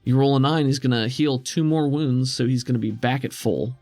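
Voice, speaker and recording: A man's voice: mid-range voice, steady tone, a little fast, mellow, no echo, faint music behind. A man acting as game master, talking through rules and narrating scenes in a conversational way.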